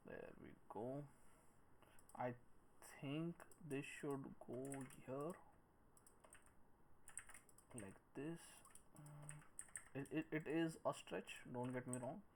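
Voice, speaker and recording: Man in his thirties, halting tutorial narration, musing aloud, medium pitch, faint noise with clicks and typing. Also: a little mellow. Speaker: 3D modelling tutorial presenter. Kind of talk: screen-recorded tutorial narration, thinking aloud. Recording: noisy room with clicks and typing.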